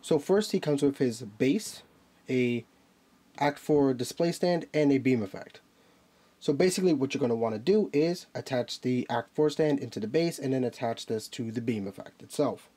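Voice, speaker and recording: Brief: man, mid-pitched, nasal and stuffy from a cold, chatty toy-review host walking through a figure. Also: normal speed, mellow, husky. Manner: chatty, explains while handling a figure.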